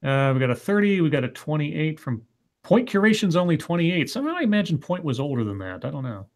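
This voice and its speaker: A man in his late thirties co-hosting a casual online chat show, reading viewer comments aloud with dry, deadpan reactions. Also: a little high, quick pace. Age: late 30s.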